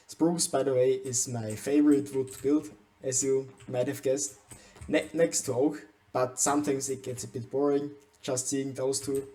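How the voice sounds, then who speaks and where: A man's voice: medium pitch, dark tone. A young man from Austria, with German as his first language, speaking English with an Austrian German accent as he talks through a game base tour, hesitant and often restarting his words. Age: young adult. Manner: hesitant, frequent restarts and stumbles. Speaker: gaming channel host.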